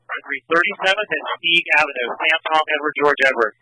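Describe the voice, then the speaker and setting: A man's voice: on the high side, a little fast, bright, forceful tone, no echo, poor audio. A man speaking as a police officer over scanner radio, giving terse, repetitive status updates. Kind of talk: police pursuit radio reporting.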